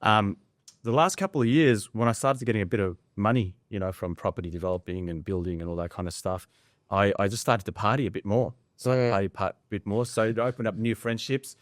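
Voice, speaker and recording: Male, early 40s, from Nepal, low-pitched, casual personal storyteller on a podcast, dry close mic. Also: a little fast, no room sound. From Nepal, later Australia. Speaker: podcast guest.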